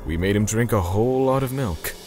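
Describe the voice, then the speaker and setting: A man playing a cold, righteous torturer for hire who narrates a manga dub in a measured, grim way. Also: fairly low pitch, over music, lightly acted. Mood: clinical.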